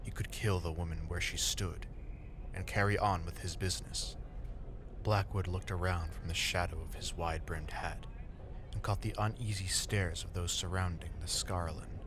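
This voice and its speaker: Low-voiced man narrating dark fantasy. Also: steady tone, normal speed. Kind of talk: scripted dramatic story narration. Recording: ambient noise behind the voice.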